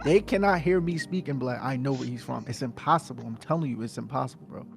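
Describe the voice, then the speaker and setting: A man with a New Jersey accent holds forth on a casual podcast. His voice is gravelly and sits at an everyday pitch. He argues insistently, coming back to the same point over and over, with faint music playing behind him. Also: fast talker. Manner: insistent, repeats "I'm telling you, bro". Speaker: podcast co-host.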